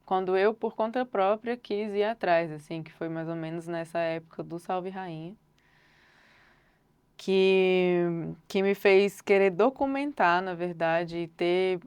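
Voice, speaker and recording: A young woman with a Brazilian accent talks through her answers slowly, reaching for filler words as she thinks. Her voice sits low and sounds smooth and clean. The sound is dry and close, with no room around it. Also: no room sound. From Brasília, Brazil. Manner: thoughtful, unhurried, hedges often with filler words. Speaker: singer-songwriter guest. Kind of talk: reflective interview answers.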